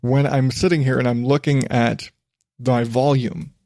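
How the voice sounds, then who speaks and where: A man's voice: mid-range voice, steady tone, a little slow, gravelly, dark tone, no room sound, studio quality. A man hosting a rambling solo podcast, chatty and self-deprecating, drifting from one digression to the next. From western United States. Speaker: podcast host. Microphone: studio microphone.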